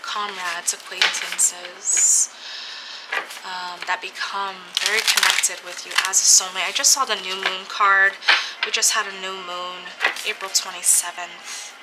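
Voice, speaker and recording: A woman slowly and dreamily reading tarot for an online audience, sounding a little stuffy from a cold, with soft laughs and pauses. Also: mid-range voice, little pitch movement, very noisy. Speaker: tarot reader. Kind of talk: spiritual tarot reading for camera.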